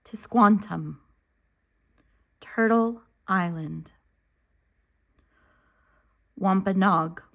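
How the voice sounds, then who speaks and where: A woman reading a children's picture book aloud as a teacher, enunciating carefully and deliberately. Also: medium pitch, vocal fry. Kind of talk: slow, expressive children's read-aloud narration.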